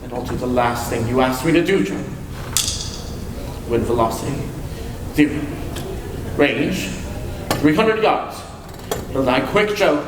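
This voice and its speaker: A man's voice: medium pitch, unhurried, long pauses, background noise, natural voice. A man playing a stammering, simple-minded young man in a live old-time radio drama, fixated and obsessive. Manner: stammering, fixated, flat-toned. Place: large convention hall, live stage. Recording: echoey hall, heard from far off.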